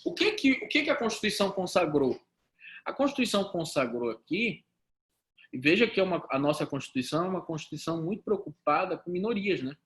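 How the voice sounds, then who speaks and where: A man from Pará with a Paraense accent, lecturing on law to students with rhetorical questions and lively asides. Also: high voice, a little fast, roomy sound. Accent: Paraense Brazilian Portuguese. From Pará, Brazil. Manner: animated, talks to students directly, rhetorical questions. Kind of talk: recorded law lesson.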